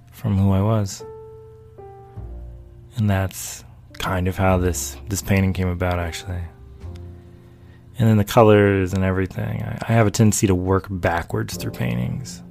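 A man's voice: fairly low pitch, vocal fry, a little mellow. A man from North Carolina, a painter chatting casually to camera in a rambling, amused storytelling way. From North Carolina, USA. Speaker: painter and video maker. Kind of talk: casual talking-head chat.

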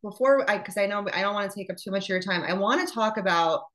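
A woman's voice: mid-range voice, quick pace, a bit bright. A woman podcast host chatting casually and frankly, laughing often and swearing now and then.

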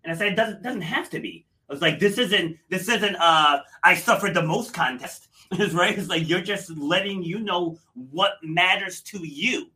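A man's voice: high-pitched, moderate pace, hardly any pauses, sharp tone, poor audio. A man hosting a podcast panel, closing the talk with emphatic, repetitive points about sharing stories. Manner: emphatic, repeats phrases for effect. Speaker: podcast host. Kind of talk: panel discussion wrap-up.

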